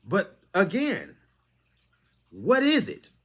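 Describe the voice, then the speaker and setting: A man's voice: high-pitched, huge pitch swings, very slow, creaky. Man preaching a lecturing vlog monologue. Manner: lecturing, full of rhetorical questions. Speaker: channel host.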